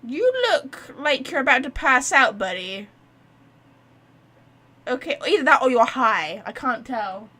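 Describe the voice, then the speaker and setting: A woman gives casual playthrough commentary as she streams. Her voice is high and swings widely, with an expressive, sweary, teasing manner. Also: measured pace, long pauses. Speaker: game streamer.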